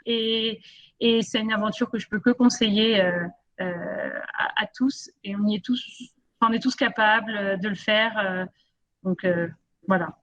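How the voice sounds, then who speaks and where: A woman's voice: medium pitch, steady tone, unhurried, a bit bright, highs cut off. A woman giving a spontaneous testimonial as a mentor, speaking hesitantly with repeated words and emphasis. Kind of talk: spontaneous spoken testimonial at a conference.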